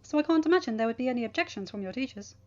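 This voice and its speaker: A woman's voice: high-pitched, lively intonation, a little mellow. Woman voicing a formal, courteous school principal. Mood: accommodating. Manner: formal, measured, accommodating. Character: courteous, apologetic, authoritative male school principal. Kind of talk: audiobook character voice for fan fiction. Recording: dull lo-fi home recording.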